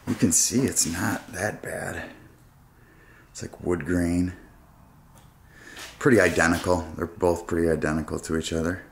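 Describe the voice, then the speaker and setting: A deep, gravelly man's voice speaks slowly and softly, often sinking into a near whisper as he looks around. It is picked up by a camera mic with clear noise running behind it. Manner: hushed, half-whispered remarks while exploring. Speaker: urban explorer vlogger. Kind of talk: quiet walkthrough narration. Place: abandoned funeral home indoors. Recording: noisy camera audio.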